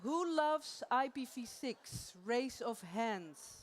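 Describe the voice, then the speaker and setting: A woman with a Dutch accent gives a live talk in a conference theater. She is playful and chatty and laughs often. Her voice sits a little higher than most women's. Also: lively intonation, slow pace. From Netherlands. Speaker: conference presenter.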